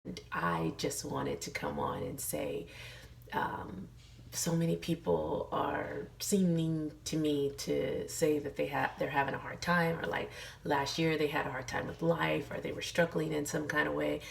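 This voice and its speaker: A middle-aged woman vlogging in a casual, encouraging way while losing her voice, hoarse and full of fillers. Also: sits low, unhurried, recorded from a distance, a little hiss or hum. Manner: chatty, many fillers, earnest encouragement. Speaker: lifestyle vlogger. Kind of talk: casual motivational talk to camera.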